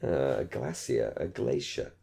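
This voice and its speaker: A man's voice: rumbling low, expressive, measured pace, creaky, mellow, breathy edge. A man with a British accent in casual discussion, hesitant and chatty, often circling back to rephrase himself. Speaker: podcast co-host. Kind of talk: casual chat, discussion of a radio comedy.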